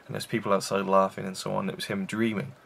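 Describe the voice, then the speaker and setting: Low gravelly man reviewing a film. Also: little pitch movement, fast, fairly bright tone. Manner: rambling, enthusiastic, many fillers and restarts. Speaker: film reviewer, show host. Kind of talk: casual film review chat.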